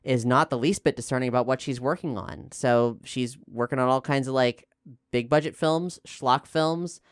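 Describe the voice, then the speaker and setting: Smooth-voiced American man thinking aloud. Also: mid-range voice, normal speed, no echo, studio quality. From Florida, United States. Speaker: actual play podcast player and co-host. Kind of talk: casual explanatory discussion.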